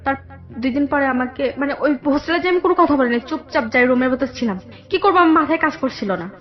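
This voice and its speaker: A young woman with a Bangladeshi accent telling her personal story in a radio interview, halting and repeating herself. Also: high voice, lively intonation. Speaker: guest telling own life story. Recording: dull lo-fi radio audio, background music.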